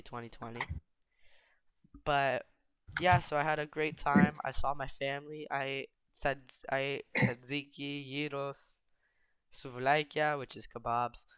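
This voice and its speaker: A man's voice: medium pitch, a bit bright, clear, resonant tone, dry recording. A teenage boy whose first language is Greek, chatting casually and telling a rambling story about his summer. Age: teens. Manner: rambling, self-correcting storyteller. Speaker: podcast co-host. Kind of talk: casual chat.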